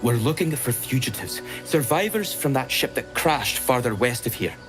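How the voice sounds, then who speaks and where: An agitated, fervent cultist in a fantasy game, played by a man, with a voice that swings widely in pitch. He talks haltingly over clear background music. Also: expressive, fairly bright tone, close to an everyday voice. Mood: guarded. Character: anxious, fervent male cult recruit, loyal brother. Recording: music behind the voice.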